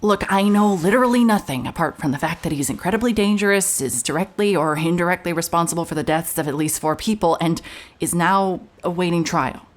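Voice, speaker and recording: A woman's voice: fairly quick, natural voice. A woman playing a wry, irritable private investigator in an audio drama, with a dry, blunt, sardonic delivery. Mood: tense, insistent. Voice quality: low-pitched voice. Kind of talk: cinematic audio drama, noir narration and naturalistic dialogue.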